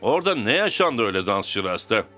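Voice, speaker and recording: A man playing a steady, encouraging football coach in a cartoon. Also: fairly low pitch, a little fast, faint music behind. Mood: steady, firm. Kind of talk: cartoon acting.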